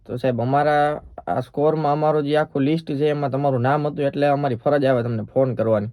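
A man's voice: a little high, fairly even intonation, quick pace, a little mellow, no room sound, clean studio sound. A man with a Saurashtra Gujarati accent making a prank call as a polite, persistent bank employee. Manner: polite, persistent, official-sounding. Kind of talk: prank phone call in casual dialect.

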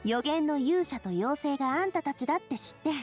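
A lively, high-voiced confident young woman. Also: fairly quick, soft background music. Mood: upbeat, confident. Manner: breezy, self-assured, casual. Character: Navia, confident cheerful young woman, boss made fairytale king. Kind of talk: Japanese anime game voice acting.

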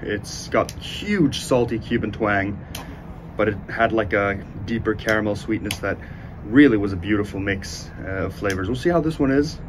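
A man in his twenties giving a casual, unhurried review to camera, speaking with enthusiastic praise. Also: on the low side. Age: 20s. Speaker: cigar reviewer, host. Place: outdoors on a sunny porch by windows. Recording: outdoor ambient noise.

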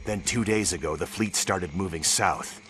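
An actor playing a grim, tough soldier in a video game, terse, blunt and weary. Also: male voice, low voice, normal speed, lightly acted. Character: grim, tough young male American soldier. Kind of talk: video game cutscene acting. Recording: music behind the voice.

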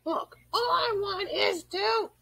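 A man voicing a manic, hot-tempered little cartoon creature, a taunting bully with a shrill, theatrical delivery. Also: slow, creaky, sharp tone, no echo, full character voice. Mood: angry. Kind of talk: cartoon villain voice reel.

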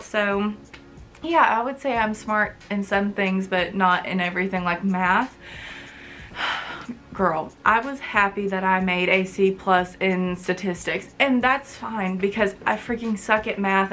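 A woman's voice: medium pitch, fairly bright tone. A woman of twenty chatting casually to camera from her college bedroom, frank and full of fillers. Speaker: vlogger.

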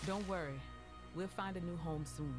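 An earnest, protective young cartoon warrior voiced by a man. His voice sits fairly high for a man, with music clearly playing behind it. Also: moderate pace, lightly acted. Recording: cartoon playback under loud music.

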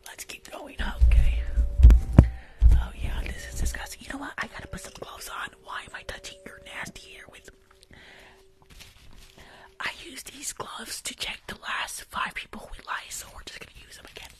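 A young woman doing a whispered ASMR roleplay as a rude school nurse, blunt and grumpy, speaking in a breathy whisper close to the mic. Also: high-pitched, moderate pace. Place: small indoor room.

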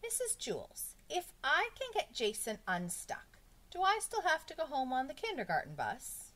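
A woman's voice: high voice, wildly swooping pitch, moderate pace, a bit bright, voice pushed up, exaggerated voice. A woman voicing a cheeky young schoolgirl in a children's storybook, coaxing and nonchalant.

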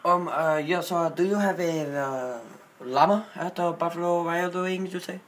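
Noisy recording, halting, earnest Indian man played by a young man. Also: a little high, steady tone, fairly bright tone, close to an everyday voice. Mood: earnest, hesitant. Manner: halting, apologetic, many ums. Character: polite, earnest Indian man, hesitant homesick prank caller. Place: small bedroom. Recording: noisy amateur phone-video recording.

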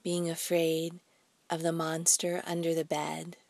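A woman leading a guided meditation in a soft, breathy, soothing voice, speaking deliberately in short phrases with pauses. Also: sits low, completely flat delivery, no echo. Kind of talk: slow guided meditation narration.

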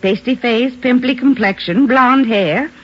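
A woman's voice: fairly high pitch, unhurried, music in the background, close to an everyday voice. An actress playing a talkative neighborhood woman giving a description to police in an old radio drama, on a dull old radio recording. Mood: gossipy. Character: chatty neighborhood woman witness. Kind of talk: 1950s radio drama acting.

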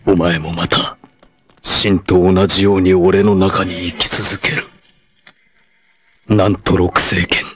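A stoic martial-arts hero from an anime, loyal to his fallen friend. His voice is very deep and he speaks very slowly. Every phrase is grave and solemn. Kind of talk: dramatic anime acting.

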